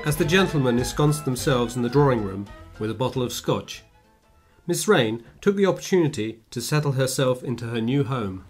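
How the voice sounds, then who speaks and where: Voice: mid-range voice, animated, moderate pace. A narrator delivering scripted storytelling narration for a period radio drama. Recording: light guitar music behind.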